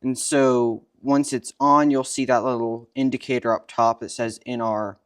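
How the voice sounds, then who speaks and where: Young male co-presenter, smooth clear tone. Matter-of-fact, instructional, tutorial-style explanation. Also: mid-range voice, flat delivery, normal speed. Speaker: co-presenter demonstrating equipment.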